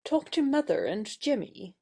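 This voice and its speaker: Woman playing an imperious, swooping, mellow young mistress. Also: high voice, very animated, moderate pace. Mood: brisk. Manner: bossy, emphatic. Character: imperious, warm-hearted young lady of the house.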